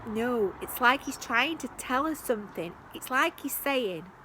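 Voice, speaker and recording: A woman's voice: high-pitched, lively intonation, unhurried, bright, forceful tone, a little hiss or hum, voice pushed up, exaggerated voice, rough recording. A woman voicing a tiny, hopeful young king. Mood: wondering.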